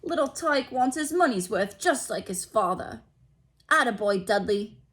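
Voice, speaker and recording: A woman's voice: fairly high pitch, lively intonation, a little slow, a bit bright, slight background noise, voice pushed up. A woman voicing a blustering, indulgent middle-aged father in a storybook, hearty and blunt. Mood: proud, amused.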